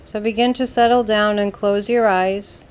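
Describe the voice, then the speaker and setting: A woman with an American accent leads a guided meditation, giving calm, soothing instructions in a deliberate way with long pauses. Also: mid-range voice. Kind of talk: slow guided meditation narration.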